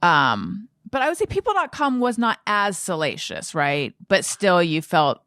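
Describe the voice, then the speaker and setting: A middle-aged woman hosts a casual interview in a dry, close-sounding space. Her pitch moves around a lot as she chats. She is self-deprecating and tends to think out loud while forming her questions. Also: big pitch swings, a little slow, dry recording. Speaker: podcast host and interviewer.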